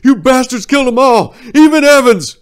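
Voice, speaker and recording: A man voicing a haunted, violent Vietnam veteran in a dramatic war story, given to furious yelling. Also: very high-pitched, expressive, normal speed, smooth, voice pushed up. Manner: yells in sudden furious outbursts. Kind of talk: dramatic first-person war story monologue.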